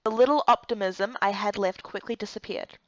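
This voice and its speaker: A woman's voice: mid-range voice, steady tone, fairly quick, no room sound, lo-fi sound. A woman reading scripted first-person story narration for a game playthrough.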